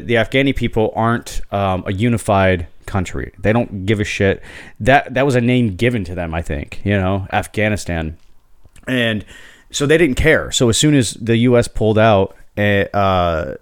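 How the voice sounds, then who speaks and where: A man's voice: lowish voice, moderate pace, clear, resonant tone, no echo, studio quality. A man hosting a podcast, chatting casually, rambling and swearing freely. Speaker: podcast host.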